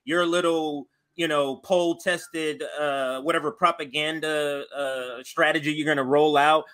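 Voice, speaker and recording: An American man co-hosting a casual political show, talking in a halting, repetitive, wryly amused way. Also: fairly high pitch, steady tone, pushed and bright. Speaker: co-host.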